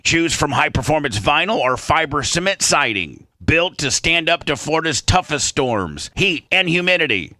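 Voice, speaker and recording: A man's voice: fairly high pitch, sharp tone, dry recording. A man doing a scripted advertising read as a radio host. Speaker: radio show host reading sponsor ads.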